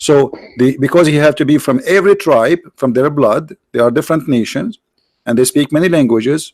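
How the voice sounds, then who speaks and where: A man's voice: on the high side, darkish tone, no room sound. Argumentative man. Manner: argumentative, repetitive, non-native grammar, slows down to stress points. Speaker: host debating a caller. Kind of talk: heated debate.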